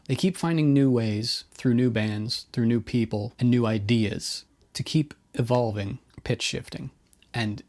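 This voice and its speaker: A man's voice: medium pitch, darkish tone. Casual middle-aged man talking guitar gear. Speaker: host of a guitar gear video. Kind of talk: casual video essay commentary.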